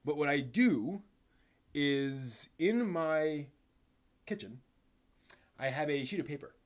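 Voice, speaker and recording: A man hosting a casual live stream, speaking in a halting, rambling way and stumbling over his words, sounding somewhat tired. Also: on the high side, animated. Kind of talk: casual live stream chat, answering chat questions.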